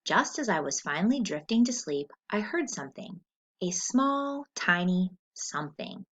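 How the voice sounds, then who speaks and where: A woman teacher reading a story aloud in an expressive, deliberate, instructional way, as for a lesson with questions. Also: fairly low pitch. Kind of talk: scripted story read-aloud with comprehension questions. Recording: dull lo-fi recording.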